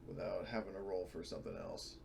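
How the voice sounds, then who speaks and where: A man's voice: deep voice, steady tone, fast talker, vocal fry, heavy background noise. A man chatting casually at a tabletop roleplaying game, explaining rules to the others.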